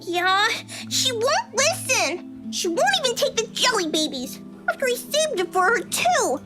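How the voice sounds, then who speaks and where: Very high, whiny little girl. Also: big pitch swings, a little slow, over loud music, exaggerated voice. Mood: sad, pleading. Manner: childlike, whiny. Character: upset little girl, sniffly, earnest and pleading.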